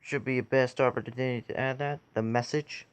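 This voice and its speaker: A man giving a casual how-to tutorial in a halting way, pausing between short phrases. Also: mid-range voice, fairly even intonation, heavy background noise. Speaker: tutorial video creator.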